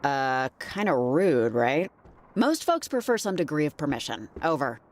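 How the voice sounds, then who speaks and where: A woman's voice: low-pitched, sing-song, a little slow. A woman playing a curious, wry, level-headed heroine in an adventure game, casual and questioning. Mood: dry. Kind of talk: naturalistic game voice acting. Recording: faint music under the voice.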